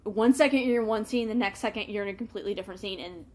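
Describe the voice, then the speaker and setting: A woman hosting a book review in a casual, chatty way, with animated emphasis and wry asides. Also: medium pitch, very fast. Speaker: book review show host.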